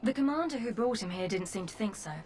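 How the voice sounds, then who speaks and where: A brisk female camp guard, voiced by a woman speaks in a curt, formal manner. She sounds businesslike and keeps order without fuss. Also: on the high side, expressive, a little mellow, faint music behind, close to an everyday voice. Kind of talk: video game voice acting.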